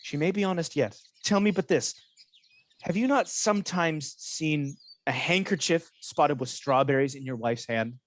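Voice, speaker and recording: A man playing a scheming, falsely honest soldier in a Shakespearean radio drama, speaking in a measured, insinuating manner. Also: fairly high pitch, natural voice. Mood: coolly persuasive. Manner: insinuating, measured, feigned reluctance.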